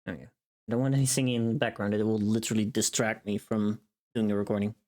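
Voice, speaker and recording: A woman's voice: very deep voice, fairly even intonation, quick pace, warm tone. A woman streamer chatting casually and thinking aloud while she works on voice recordings. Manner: thinking aloud, self-directed muttering. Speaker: streamer recording voice pack lines. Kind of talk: casual livestream chat while working.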